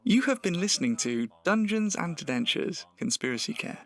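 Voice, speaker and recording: A man's voice: fairly high pitch, sing-song, normal speed, fairly bright tone. A man reading scripted closing credits as an announcer. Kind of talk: scripted narration. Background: music.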